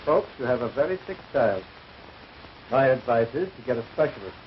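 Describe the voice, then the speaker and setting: An actor playing a grave, blunt family doctor in a 1930s film melodrama. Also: male voice, medium pitch, big pitch swings, brisk, natural voice. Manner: blunt, formal, solemn. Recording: dull, hissy 1930s film soundtrack.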